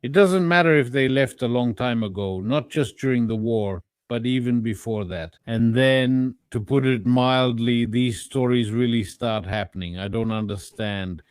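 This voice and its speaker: Man with a smooth, dry voice. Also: medium pitch, unhurried, few pauses, no room sound. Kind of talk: political discussion.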